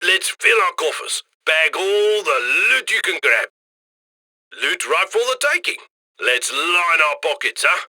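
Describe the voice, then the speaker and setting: Game handler: jovial male criminal mastermind, higher-pitched, very sharp bright tone, theatrical and wry, close dry radio comms. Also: lively intonation, a little slow, no echo, full character voice. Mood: gleeful, greedy. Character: cocky, jovial male heist mastermind guiding his crew. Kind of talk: scripted video game mission voice lines.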